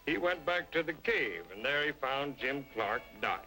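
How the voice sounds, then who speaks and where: An actor playing a folksy, plainspoken storyteller recounting a legend in an old-time radio western. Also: male voice, medium pitch, animated, moderate pace, sharp tone, rough recording. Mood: solemn storytelling. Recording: old radio drama, faint music.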